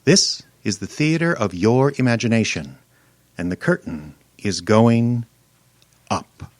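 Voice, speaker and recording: A man hosting live radio theater, giving a scripted, theatrical introduction with measured delivery and dramatic pauses. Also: on the low side, lively intonation. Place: room in a home.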